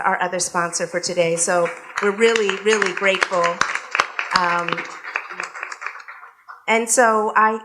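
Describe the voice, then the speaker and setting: A woman hosting a live panel, welcoming the audience into a room. She talks casually at a middle pitch, with easy fillers and restarts. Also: a little hiss or hum. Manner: warm, chatty, with frequent fillers and restarts. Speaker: radio station executive and event host.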